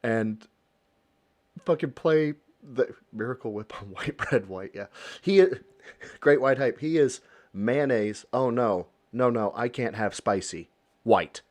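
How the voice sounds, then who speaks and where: Male reaction host, animated swings in pitch, crude banter. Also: slow. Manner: profane, joking, cutting in over the clip. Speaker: livestream host. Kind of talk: casual reaction commentary.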